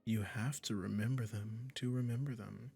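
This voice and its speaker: A man's voice: on the low side, fairly even intonation, moderate pace, darkish tone, soft background music. A man playing a smug, possessive, doting male witch in an intimate roleplay audio, cooing condescendingly. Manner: condescending, cooing, breathy endearments. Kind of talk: intimate ASMR roleplay acting.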